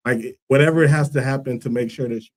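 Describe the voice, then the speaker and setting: A man's voice: mid-range voice, fairly even intonation, fast talker. A man from Liberia, a guest on a talk show, who answers earnestly and thoughtfully with many fillers. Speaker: guest, school co-founder. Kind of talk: casual interview answers.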